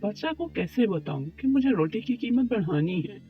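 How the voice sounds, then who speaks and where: A man's voice: sits high, sing-song, normal speed, breathy edge, heavily acted. A man playing a poor, worried, humble bread baker in an Urdu moral cartoon story, pleading and deferential. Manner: pleading, deferential, talks to himself. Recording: muffled lo-fi track with music behind.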